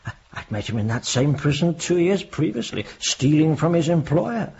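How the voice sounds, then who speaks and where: Husky, gravelly-voiced chaplain. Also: male voice, on the high side, very animated, moderate pace, darkish tone, lo-fi sound, heavily acted. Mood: reflective, earnest. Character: reflective old prison chaplain, gently probing. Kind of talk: radio drama acting.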